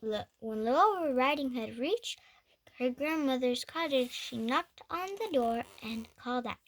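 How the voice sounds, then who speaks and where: Young girl storybook reader, high voice, unhurried, stumbles and self-corrects, playful asides. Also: lively intonation. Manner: stumbling over words and correcting herself. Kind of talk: reading a picture book aloud with playful asides.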